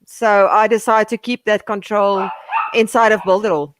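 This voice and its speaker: A woman speaking as a guest in a casual chat, agreeable and steady as she explains her approach. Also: mid-range voice, moderate pace, background noise. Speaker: guest, business owner.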